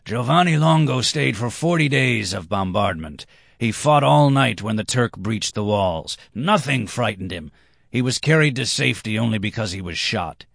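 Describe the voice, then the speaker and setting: Guy doing drunk impish lord in an audiobook, proud n touchy, pitched up, very declamatory. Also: expressive, normal speed, creaky, a bit bright, dry recording, clean studio sound. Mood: proud, defiant. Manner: emphatic, declamatory. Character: drunken red-haired nobleman, boastful, impish, quick to anger.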